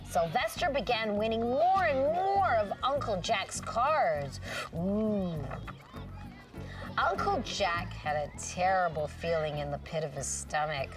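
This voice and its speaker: A woman's voice: mid-range voice, lively intonation, slow, distant mic, music in the background. A woman hosting a children's show, reading a storybook expressively with dramatic little interjections and questions for young listeners.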